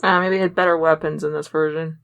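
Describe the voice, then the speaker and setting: A woman's voice: low voice, steady tone, fast talker, creaky, fairly bright tone, smooth, muffled recording. A woman chatting casually as a podcast co-host, chiming in with brief, offhand remarks. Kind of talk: casual chat.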